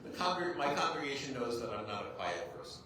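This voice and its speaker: A man's voice: medium pitch, sing-song, fairly quick. A man, a rabbi, giving an informal talk to a group, with self-deprecating humor and frequent "right?" check-ins to his listeners. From United States. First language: English. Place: large echoey room with an audience. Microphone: clip-on mic, heard from a distance. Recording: very echoey hall, distant mic, faint noise.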